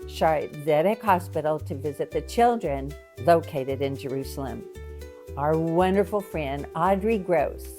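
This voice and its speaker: Older woman co-host, low voice. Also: animated, a little slow, dark tone. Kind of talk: casual co-hosting. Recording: faint music behind.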